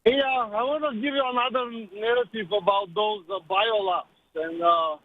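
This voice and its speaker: A man with a Bulgarian accent calls in to a radio show, his voice pitched high for a man. He tells his story in halting, non-native phrasing. The audio is a dry, tinny phone line. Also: fairly even intonation, no room sound. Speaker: caller.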